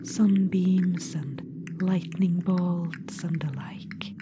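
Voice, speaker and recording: A woman's voice: on the low side, fairly even intonation, very slow, background noise. A woman voicing a scheming undead witch-queen in a whispered audio roleplay, confiding and fond. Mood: hushed. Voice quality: soft whisper. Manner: confiding, fond, slyly amused. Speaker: ASMR roleplay performer. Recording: music underneath. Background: background music.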